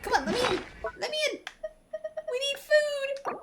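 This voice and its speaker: A woman's voice: extremely high pitch, animated, moderate pace. A woman giving playful, chatty, casual live commentary as a game streamer. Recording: loud game music behind voice. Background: game music and sound effects.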